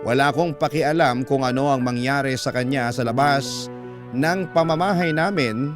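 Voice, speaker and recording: A man's voice: mid-range voice, moderate pace. A man with a Filipino accent reading a letter aloud as dramatic scripted radio storytelling. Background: background music.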